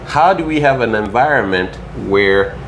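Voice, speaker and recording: A man's voice: fairly low pitch, lively intonation, normal speed, a little hiss or hum, low-quality recording. A Ghana-born man as an interview guest, explaining things patiently and checking in with "right?" and "you know".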